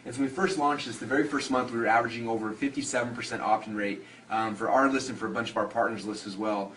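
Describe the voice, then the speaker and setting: Fast-talking man, distant noisy recording. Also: mid-range voice, fairly even intonation, a bit bright. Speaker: customer giving a testimonial. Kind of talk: unscripted spoken testimonial. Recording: distant mic with clear background noise.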